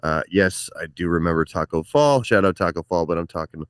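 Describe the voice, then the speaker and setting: Male co-host, very deep voice, dry close mic, easygoing sports chatter. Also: fairly quick, no room sound. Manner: easygoing, chatty, frequent fillers. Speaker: podcast co-host. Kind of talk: casual sports chat.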